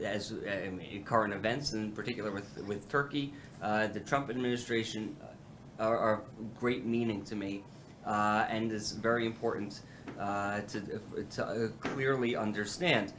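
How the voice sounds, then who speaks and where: A man's voice: lowish voice, fairly even intonation, moderate pace, fairly bright tone, slight background noise. A man, president of a policy institute, hosting a roundtable and speaking with many fillers and restarts. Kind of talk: halting roundtable remarks.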